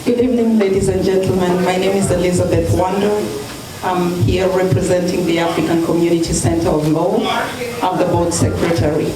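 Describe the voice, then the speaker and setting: A woman's voice: on the low side, flat delivery, brisk, pauses often. A woman introduces herself to a gathering as a board secretary. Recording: echoey hall, distant mic, crowd noise.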